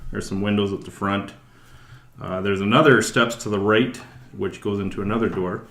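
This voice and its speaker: A man narrating as dungeon master, describing scenes in a relaxed way with many fillers. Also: fairly low pitch, normal speed, roomy sound. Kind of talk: narrating a tabletop game.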